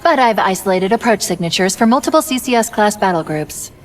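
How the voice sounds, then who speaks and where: A woman's voice: medium pitch, lively intonation, fairly quick, natural voice. A woman playing a wry, self-assured ship's artificial intelligence in a video game, cool and knowing as she briefs. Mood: cool urgency. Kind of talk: video game cinematic dialogue. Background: music.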